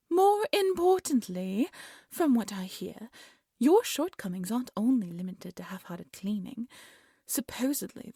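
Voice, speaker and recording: A woman playing a haughty, cruel evil queen in a fairy-tale audio drama, speaking in an imperious, condescending way with a breathy voice. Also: a little high, dry recording, clean studio sound, heavily acted. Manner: imperious, scolding, condescending, theatrical.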